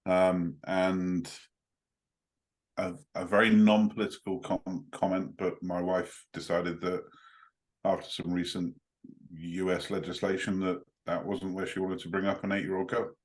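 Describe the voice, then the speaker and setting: A man's voice: deep voice, little pitch movement, a little slow. A man from Dubai chatting on a video call in a joking, hesitant way. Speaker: guest participant. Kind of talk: casual chat.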